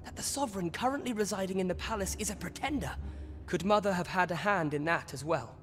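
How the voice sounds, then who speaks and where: An actor playing an earnest, secretive young prince in a fantasy game, speaking with polite, careful formality in archaic English. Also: male voice, sits high, fast. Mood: surprised, earnest. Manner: hushed, formal, courteous. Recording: long hall reverb, music underneath.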